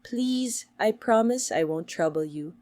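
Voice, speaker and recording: A woman's voice: medium pitch, expressive, measured pace, darkish tone, no echo, lightly acted. A woman voicing a sly, greedy female fox in a children's story, falsely sweet and melodramatic. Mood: sweet pleading.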